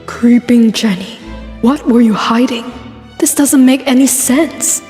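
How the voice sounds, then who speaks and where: Woman as an earnest, mellow-voiced pony sleuth. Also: fairly high pitch, unhurried, natural voice. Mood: puzzled, focused. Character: earnest, studious young princess pony sleuth. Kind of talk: cartoon voice acting in a comic dub. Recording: roomy reverb, music underneath.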